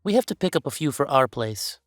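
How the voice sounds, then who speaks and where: A man's voice: mid-range voice, very animated, a little fast, no echo, studio quality, faint music behind, close to an everyday voice. A man playing a playful, easygoing young werewolf boyfriend in a casual roleplay audio, chatting in a teasing, emphatic way.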